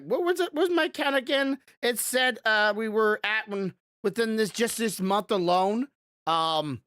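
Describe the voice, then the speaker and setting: A man hosting a podcast in casual, rambling chat, with a loud voice that rises when he gets passionate about something. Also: sits high, no echo, studio quality. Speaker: podcast host.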